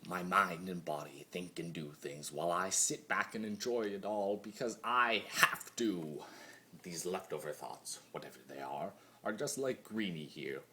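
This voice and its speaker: A man's voice: low-pitched, measured pace, pushed and bright, voice pushed down. A man voicing a mad, immortal chaos spirit in a theatrical dramatic monologue, torn between glee and brooding. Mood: hushed brooding. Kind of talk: dramatic fan-fiction monologue reading.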